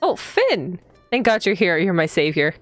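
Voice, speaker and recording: Female game streamer, lowish voice, casual and teasing, faint music underneath. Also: sing-song, normal speed. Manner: playful, teasing, giggly asides to viewers. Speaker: streamer playing a visual novel. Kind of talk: casual live gameplay commentary and reading aloud.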